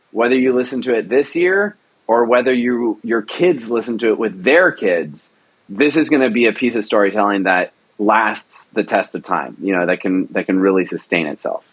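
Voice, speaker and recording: A man, a producer, speaking earnestly and haltingly in a casual interview. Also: on the low side, a bit bright, rough recording. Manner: earnest, halting, full of fillers and restarts.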